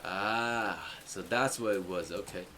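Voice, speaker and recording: A man's voice: deep voice, takes it slowly, bright, forceful tone, slight background noise. A man hosting a casual game playthrough, reacting and talking back to the game, often repeating phrases. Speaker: gaming video host.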